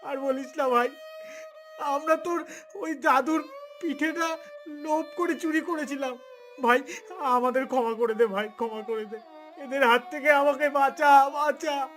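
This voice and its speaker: A man's voice: extremely high pitch, measured pace, long pauses, fairly bright tone. A man voicing a sly, greedy and cowardly village husband in a melodramatic cartoon style. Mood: terrified pleading. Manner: melodramatic, emphatic. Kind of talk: cartoon voice acting.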